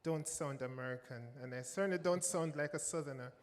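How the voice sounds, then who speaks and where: A man's voice: fairly high pitch, a little fast. A man with a Jamaican accent, a music professor speaking on a panel, emphatic and given to repeating phrases for stress. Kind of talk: panel remarks. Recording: reverberant hall with faint ambience.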